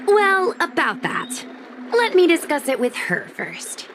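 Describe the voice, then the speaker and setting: High, cheeky, animated young gal. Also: female voice, takes it slowly, background noise, full character voice. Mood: casual. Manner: teasing, breezy, emphatic. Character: cheeky, upbeat young woman in an anime game. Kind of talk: anime game cutscene voice acting.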